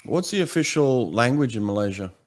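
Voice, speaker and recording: A man from Australia, hosting an interview and asking relaxed, curious questions. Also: medium pitch, a little fast. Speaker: podcast host. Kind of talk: interview conversation.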